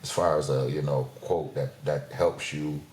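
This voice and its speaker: A man's voice: extremely low pitch, steady tone, unhurried, a little mellow. A man hosting a podcast, asking questions in a loose, casual, slangy way. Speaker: podcast host, coach. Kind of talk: casual conversational interview.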